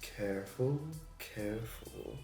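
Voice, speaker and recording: A man's voice: lowish voice, darkish tone. A man playing a suave, smug supervillain in an audio roleplay, with a mocking, flirty, theatrical delivery. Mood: mocking, amused. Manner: theatrical, taunting, drawn-out delivery. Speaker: voice actor. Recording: roomy echo, distant mic, music behind.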